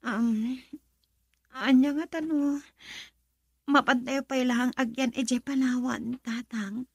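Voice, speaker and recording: High-pitched young Filipina daughter. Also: female voice, steady tone, slow pace, breathy edge, no echo, clean studio sound, heavily acted. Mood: hesitant, grateful. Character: soft, dutiful young daughter talking to her father. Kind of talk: radio drama acting.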